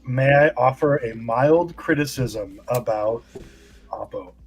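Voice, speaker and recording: A man in his thirties co-hosting a casual livestream over a video call, teasing and relaxed. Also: mid-range voice, takes it slowly, poor audio. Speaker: co-host.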